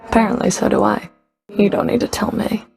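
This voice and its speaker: A stern underworld Fury from a video game, her voice heavy with vocal fry at an ordinary female pitch. She is curt, dry and sardonic, giving orders with guarded, grudging authority. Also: little pitch movement, fairly quick, a little mellow, soft background music.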